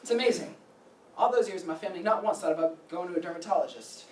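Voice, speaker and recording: An actor playing a chatty, good-humored doctor in a staged play reading. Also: male voice, sits high, fast, close to an everyday voice. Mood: upbeat. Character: chatty, good-humored male doctor, old childhood friend. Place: meeting room staged reading, distant camera. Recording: echoey room from far off, noisy.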